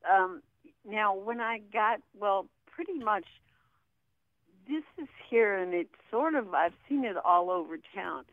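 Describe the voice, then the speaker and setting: Tinny phone call, woman caller talking slow, kinda halting, keeps rewording stuff. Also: mid-range voice, lively intonation, no room sound. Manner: hesitant, self-correcting. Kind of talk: casual, halting explanation. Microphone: telephone. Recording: tinny narrow phone line.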